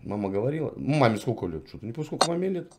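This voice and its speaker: A man's voice: mid-range voice, very animated, rapid-fire, crowd noise. A man in his forties interviewing a young woman on camera, teasing, condescending and sarcastic. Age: 40s. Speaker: street interviewer. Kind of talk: mocking street interview.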